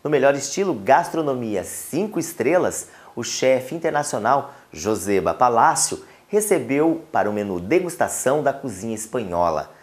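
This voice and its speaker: A man's voice: medium pitch, sing-song, normal speed, hardly any pauses. A male TV host reading a scripted voice-over for a lifestyle segment in a polished presenter manner. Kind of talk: scripted narration.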